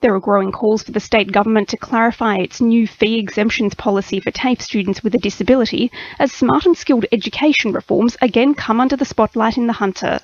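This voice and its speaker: An Australian woman reads the news on radio in a scripted bulletin style. Her voice sits a little high. The broadcast audio is slightly dulled. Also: a little fast, few pauses, highs cut off. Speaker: radio newsreader.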